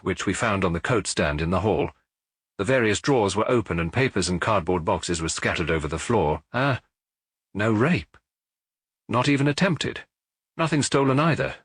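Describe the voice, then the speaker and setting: A man reading an audiobook, voicing a middle-aged male police officer who gives his report in a measured, matter-of-fact way. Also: fairly low pitch, a bit bright, husky, no room sound, clean studio sound. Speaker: audiobook reader.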